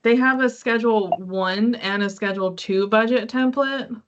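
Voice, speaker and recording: A woman's voice: a little high, normal speed. A woman hosting a conference call, answering questions from participants. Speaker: meeting host. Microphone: online conference call. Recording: muffled conference call audio.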